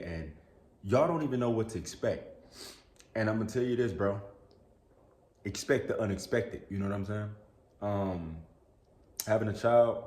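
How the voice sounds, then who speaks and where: A man's voice: fairly low pitch, a little mellow, roomy sound. A man, talking earnestly to camera indoors, repeating "bro", a little sick with sneezes. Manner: earnest and preachy, repeats "you know what I'm saying" and "bro". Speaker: YouTuber. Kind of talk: casual talk to camera.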